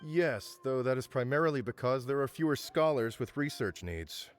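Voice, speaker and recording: A fussy administrator from a video game talks in a mid-pitched, formal, deferential voice, played by a man. He turns stern when he scolds. Light game music plays under him. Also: animated, moderate pace, lightly acted.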